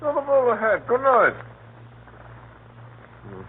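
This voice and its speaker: An actor playing a jovial, muddled, drunken upper-class gentleman in a 1930s radio drama, slurred, rambling and repetitive, with sing-song drawn-out words. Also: very high-pitched, huge pitch swings, full character voice. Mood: tipsy, playful. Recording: scratchy, tinny 1930s radio broadcast.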